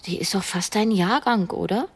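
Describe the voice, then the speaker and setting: A woman's voice: mid-range voice, moderate pace, breathy edge. An actress playing a helpful, inquisitive woman in conversational radio drama dialogue. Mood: curious. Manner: casual, probing questions.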